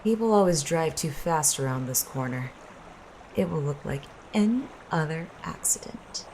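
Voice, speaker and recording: Woman playing very low-voiced, cold scheming mistress. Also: moderate pace, slight background noise. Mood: cold, commanding. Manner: calm, commanding, coolly persuasive. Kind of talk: audio drama acting.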